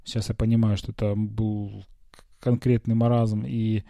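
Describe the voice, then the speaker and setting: A man hosting a personal podcast from a quiet bedroom, rambling casually and hesitantly through everyday stories, a little congested from a cold. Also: lowish voice, level tone, normal speed, vocal fry, dry recording. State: recovering from a cold, slight cough. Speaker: podcast host. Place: small quiet bedroom, lying on a bed.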